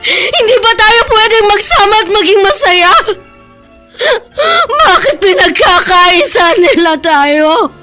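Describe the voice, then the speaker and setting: A woman's voice: very high-pitched, animated, slow pace, pushed and bright, faint music behind, full character voice. A woman playing a stubborn, lovestruck teenage schoolgirl in a Tagalog radio drama, pleading tearfully. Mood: anguished crying. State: crying.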